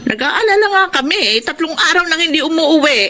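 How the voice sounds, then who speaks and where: A woman's voice: very high-pitched, lively intonation, brisk, bright, forceful tone, exaggerated voice. A woman playing a middle-aged, worried and prayerful mother in a Tagalog radio drama, with an anxious, pleading manner. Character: worried, prayerful mother fearing for her wayward son.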